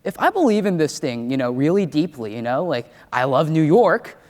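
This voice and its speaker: A man's voice: fairly high pitch, big pitch swings, moderate pace, darkish tone. A man on a panel discussing politics, conversational and playful, with frequent "um" fillers. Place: large hall stage. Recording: long reverb from a large hall.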